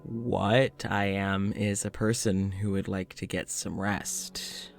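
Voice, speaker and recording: A man's voice: low-pitched, flat delivery, takes it slowly, vocal fry, soft background music, full character voice. A man playing a polite, uneasy scientist in an audio drama, speaking in a reflective, hesitant, conversational way, as if into a journal. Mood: awkward, startled. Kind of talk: audio drama monologue and dialogue.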